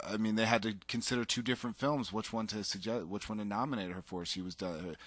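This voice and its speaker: A man co-hosts a casual talk show, his voice sitting on the lower side. He talks eagerly, rambling with fillers and restarts. The sound is dry and close, with no room around it. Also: fairly even intonation, fast, no echo. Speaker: podcast co-host. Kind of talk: casual chat.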